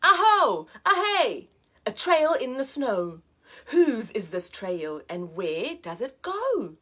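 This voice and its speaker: A woman's voice: high-pitched, sing-song, slow, sharp tone, full character voice. A woman voicing a curious little storybook monster girl, eager and wide-eyed in her questioning. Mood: excited, curious.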